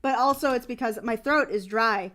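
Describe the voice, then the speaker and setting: A woman's voice: a little high, moderate pace, pushed and bright. A young adult woman streamer chatting casually, rambling and candid with frequent restarts. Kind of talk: casual chat.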